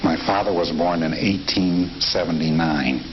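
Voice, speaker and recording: A man's voice: low-pitched, measured pace. A man in his fifties from Nebraska, recalling his childhood in a reflective interview, with frequent fillers and restarts. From Nebraska, USA. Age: 50s. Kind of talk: relaxed oral history interview. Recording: dull lo-fi tape with steady hiss.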